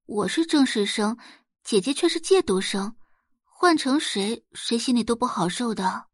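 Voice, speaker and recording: A woman voicing a falsely innocent teenage schoolgirl in an audio drama, soft, gentle and coyly pleading. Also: fairly high pitch, expressive, a little slow, no room sound, studio quality. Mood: wistful sighing. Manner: sighing, coy, pleading.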